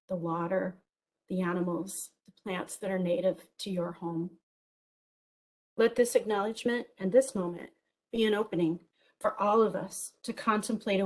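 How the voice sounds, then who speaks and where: A woman's voice: mid-range voice, low-quality recording. Big-voiced enthusiastic woman giving a keynote. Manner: enthusiastic, lively, with many ums and small jokes. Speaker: keynote speaker. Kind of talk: virtual conference keynote.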